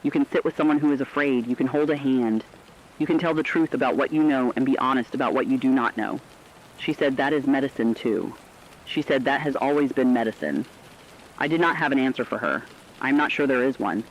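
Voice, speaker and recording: A man's voice: medium pitch, a little fast, slight background noise, close to an everyday voice. Dull-sounding, somber male actor as radio host. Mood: solemn, tender. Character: somber, reflective radio host relaying survivors' messages. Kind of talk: scripted intimate radio monologue.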